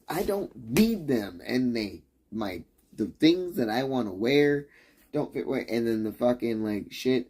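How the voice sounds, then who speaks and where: A man's voice: medium pitch, gravelly, warm tone. A man on a livestream, talking in a profane, rambling rant that keeps restarting its sentences and challenges the listener.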